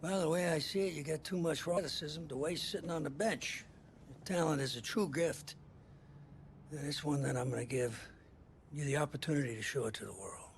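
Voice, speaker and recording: An actor playing an earnest, firm middle-aged football coach in a video game drama, speaking plainly and haltingly. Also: male voice, a little high, creaky, a little hiss or hum. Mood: earnest, persuasive. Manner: halting, deliberate, plain-spoken.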